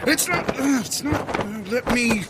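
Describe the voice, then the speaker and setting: A man's voice: extremely high pitch, unhurried, heavy background noise. A man voicing a boisterous, hearty caped superhero with an exclamatory, blustering manner in a comedic audio drama.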